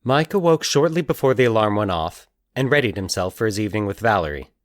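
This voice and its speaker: A man narrating scripted eerie fiction in a measured, deliberate, formal storytelling manner. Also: on the low side, animated, dry recording, studio quality.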